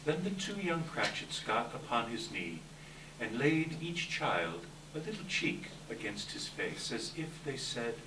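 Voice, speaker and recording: Echoey noisy hall recording, low voiced guy doing measured dramatic story narration. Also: very animated. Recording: distant echoey hall, clear background noise.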